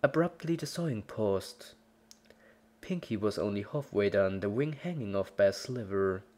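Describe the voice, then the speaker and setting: A woman with a very deep voice for her sex narrates a horror story. She reads from a script in a dramatic storytelling style. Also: expressive, moderate pace, darkish tone.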